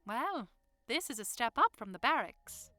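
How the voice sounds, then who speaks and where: A woman's voice: fairly high pitch, sing-song, unhurried, sharp tone, no room sound, full character voice. A woman playing a spirited, curious young noblewoman in an audiodrama, teasing and insistent yet polite. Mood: curious, warm. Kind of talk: audiodrama acting.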